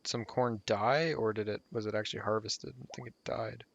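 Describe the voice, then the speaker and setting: A man's voice: fairly low pitch, steady tone, normal speed, vocal fry, dull audio. A man talking casually over a strategy game guide while losing his voice, rambling and trailing off with fillers. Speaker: gameplay guide host.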